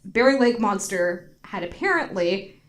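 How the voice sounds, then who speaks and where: Animated, giggly young-sounding woman. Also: medium pitch, measured pace. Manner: giggly, sweary, heavy use of "like". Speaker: podcast co-host telling a story. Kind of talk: casual comedic chat, storytelling.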